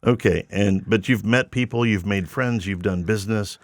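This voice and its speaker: A man's voice: on the low side, normal speed, no room sound. A man hosting a podcast, joking and teasing his guest with playful asides. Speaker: podcast host. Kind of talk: casual interview chat.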